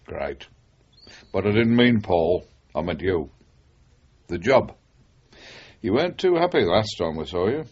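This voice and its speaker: An actor playing a frank, concerned British former policeman in a radio drama, advising persuasively in a husky voice. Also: low voice, big pitch swings, measured pace, pauses often, darkish tone, full character voice. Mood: friendly, probing. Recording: dull, band-limited radio broadcast.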